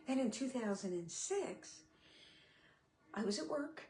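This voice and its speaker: A middle-aged woman talking candidly on a live stream, her speech sometimes a little slurred, with many pauses and fillers. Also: on the high side, sing-song, darkish tone. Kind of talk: casual live-stream talk. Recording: echoey room.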